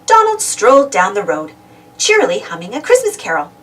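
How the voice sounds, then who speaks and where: A woman reading a children's storybook aloud in an expressive, dramatic storytelling manner. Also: medium pitch, brisk, recorded from a distance, low-quality recording. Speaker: storybook reader. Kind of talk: animated children's read-aloud narration.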